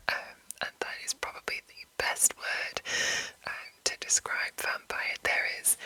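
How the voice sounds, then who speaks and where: A woman whispering an informal, rambling ASMR explainer as the host, in a breathy, unvoiced voice. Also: bright, forceful tone, dry recording, poor audio. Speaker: host of ASMR video series on roleplaying games.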